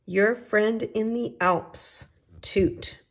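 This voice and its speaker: A woman reading as an adventurous, affectionate male storybook traveler, with exclamatory phrasing and drawn-out sign-offs. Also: mid-range voice, steady tone, husky, heavily acted. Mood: eager, affectionate. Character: adventurous, affectionate storybook traveler writing postcards to his friend.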